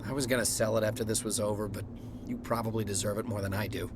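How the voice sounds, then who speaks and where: A man's voice: lowish voice, fairly even intonation, fast, noisy background, natural voice. An actor playing a rattled, evasive working-man colonist in a video game, uneasy and defensive. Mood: reluctant, guarded.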